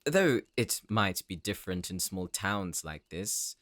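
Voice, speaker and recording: Casting: man as a low-voiced, silky, threatening visitor, dry close sound. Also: very animated, a little slow, fairly bright tone, dry recording, full character voice. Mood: smooth, probing. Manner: suave and teasing. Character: smooth, quietly menacing man from the city. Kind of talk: audio roleplay acting.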